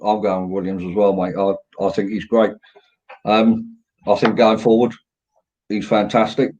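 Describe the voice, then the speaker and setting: Deep voiced guy from southern england, mellow tone, hosting casual footy chat, lo-fi sound. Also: flat delivery, normal speed. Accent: southern English. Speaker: host of a football fan show. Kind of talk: casual fan discussion, reading viewers' comments. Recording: dull, lo-fi audio.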